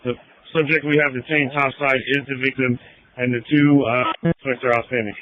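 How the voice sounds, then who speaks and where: A man's voice: mid-range voice, steady tone, fairly quick, phone-quality sound, heavy background noise. A man working as a transit police officer, giving terse status reports over the radio. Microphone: handheld police radio.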